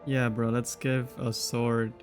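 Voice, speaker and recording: A man's voice: mid-range voice, little pitch movement, slow pace. A young man giving casual, irreverent, sweary commentary on a game he is playing. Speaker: game streamer reacting to a story quest. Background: game music.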